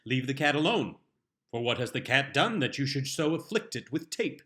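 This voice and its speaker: A man's voice: medium pitch, sing-song, moderate pace, fairly bright tone. A man performing a comic reading as a stern, exasperated father who proclaims household laws in pompous, solemn, mock-scriptural style. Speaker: reader performing a humorous essay.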